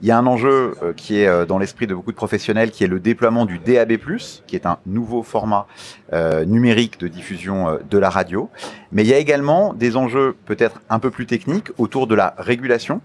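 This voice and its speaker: Low-voiced, measured male interview guest. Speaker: interview guest, media regulation official. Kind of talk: formal explanatory interview answers.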